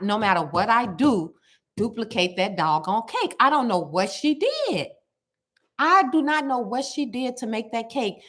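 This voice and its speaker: A woman with a loud, carrying voice, chatting casually to her viewers and telling stories with lots of emphatic repetition. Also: medium pitch. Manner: animated storytelling, repeats words for emphasis. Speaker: cooking vlogger.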